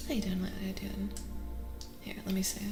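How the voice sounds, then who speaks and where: A woman doing a soft-spoken ASMR tarot reading as a streamer, breathy and often near a whisper, soothing and affirming, gently repeating her phrases. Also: medium pitch, completely flat delivery, a little fast. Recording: echoey reverb with music behind. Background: music.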